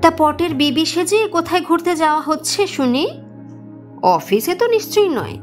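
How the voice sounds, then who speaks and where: A woman's voice: very high voice, lively intonation, normal speed, faint music behind, voice pushed up. A woman voicing a harsh, suspicious older mother-in-law in an audio story, scornful and nagging.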